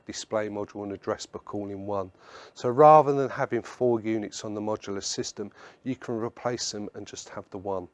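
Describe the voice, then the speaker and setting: A man's voice: mid-range voice, no echo. Man presenting a webinar, close dry sound. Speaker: product presenter. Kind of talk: webinar slide presentation, semi-scripted explanation.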